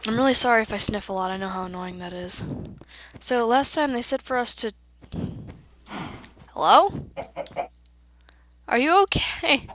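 A woman's voice: a little high, animated, a little slow, long pauses, a bit bright. A woman with a slightly congested voice giving chatty, playful commentary as she hosts a gaming video. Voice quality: slightly congested, stuffy nose. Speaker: gaming channel host. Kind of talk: casual let's play commentary.

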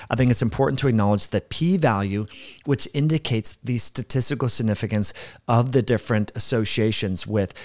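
A man in his forties hosting a health channel, explaining a study to camera in a brisk, earnest way and listing points, on a close studio mic at home. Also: medium pitch. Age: 40s. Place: indoor home room. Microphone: studio mic on boom arm, close.

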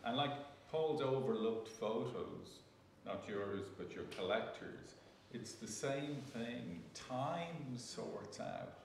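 A man's voice: medium pitch, lively intonation, takes it slowly, creaky, hardly any pauses. A man speaking off the cuff in a panel discussion, reflective, in short phrases. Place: indoor gallery event space. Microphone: distant camera. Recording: echoey room from far off, some noise.

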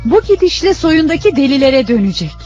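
Casting: complaining, sharp-tongued cartoon wife and mother, high and very animated. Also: a little fast, faint music behind. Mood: irritated. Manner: complaining, theatrical. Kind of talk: religious cartoon dubbing. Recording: dull lo-fi cartoon soundtrack.

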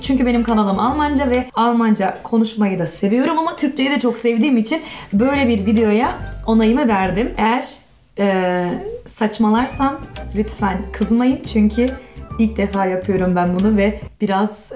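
A woman's voice: on the high side, a little mellow, reverberant. A young woman from Germany talking casually and self-deprecatingly as a guest on a makeup tutorial. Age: young adult. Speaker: guest makeup video creator. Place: small indoor studio set, seated.

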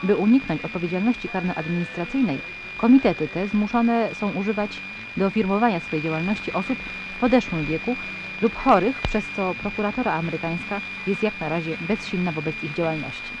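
A woman's voice: fairly low pitch, a little fast, dull audio, close to an everyday voice. A woman playing a solemn radio newsreader, reading a bulletin in a formal, serious manner. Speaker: radio news presenter. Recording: noisy, humming shortwave radio broadcast.

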